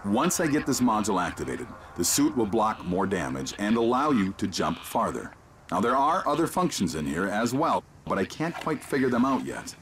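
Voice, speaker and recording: An actor playing a grave, urgent mission guide in a video game, giving deliberate, commanding instructions. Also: male voice, fairly low pitch, very animated, a little hiss or hum. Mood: focused, instructive. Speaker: mission guide over radio. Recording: loud game music behind the voice.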